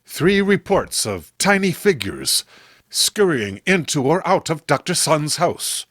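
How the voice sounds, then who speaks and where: A man's voice: on the high side, sing-song, slow pace, a bit bright, no echo, clean studio sound, heavily acted. Man playing a resolute police lieutenant, earnest. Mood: determined. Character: dutiful, determined male police lieutenant. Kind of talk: horror comic voice acting.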